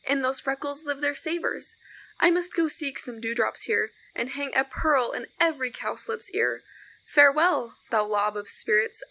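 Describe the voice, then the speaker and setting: A woman's voice: sits high, bright, forceful tone, heavily acted, poor audio. A woman playing a nimble wandering fairy who serves the fairy queen, in a Shakespearean verse audio play.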